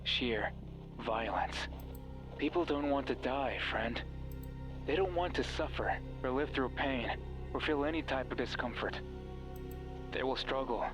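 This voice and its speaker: A man voicing a mysterious, manipulative horror game figure who speaks like a menacing false friend, taunting and deliberate. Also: medium pitch, lightly acted. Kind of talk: dramatic horror game voice acting. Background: background music.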